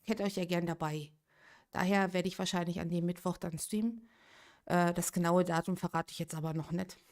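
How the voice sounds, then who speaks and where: A woman's voice: lowish voice, fairly even intonation, brisk, darkish tone. A woman in her late thirties chatting casually on a live game stream, thinking aloud and answering viewers' chat. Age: 30s.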